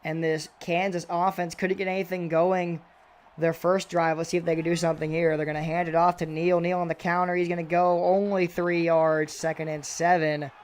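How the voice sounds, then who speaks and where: Excitable high-voiced young male commentator. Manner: excitable, voice jumps high and loud on big plays. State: raspy voice. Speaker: video game football commentator. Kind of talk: play-by-play commentary.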